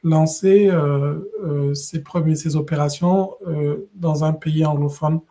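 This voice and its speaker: A middle-aged man from West Africa, a podcast guest, telling his story in a measured, thoughtful way with many pauses and restarts. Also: on the high side, fairly even intonation, mellow. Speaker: guest, regional director. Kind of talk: conversational podcast interview.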